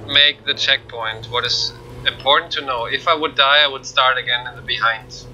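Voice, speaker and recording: Lowish male gamer with non-native English accent. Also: steady tone, normal speed, pushed and bright, a little hiss or hum, low-quality recording. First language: not English. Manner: explanatory, plain. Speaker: gamer, speedrunner. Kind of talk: live gameplay commentary.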